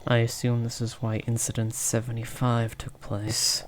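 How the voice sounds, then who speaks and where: A man's voice: medium pitch, completely flat delivery, moderate pace, close to an everyday voice. A man playing a stern, uneasy researcher interrogating a captive creature in a horror audio roleplay, clinical and accusatory, over faint noise. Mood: stern, accusing.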